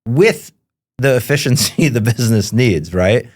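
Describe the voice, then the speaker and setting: A man's voice: mid-range voice, lively intonation, a little slow, darkish tone. A man hosting a podcast, speaking in a casual conversational way with frequent "like" and "right?". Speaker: podcast host.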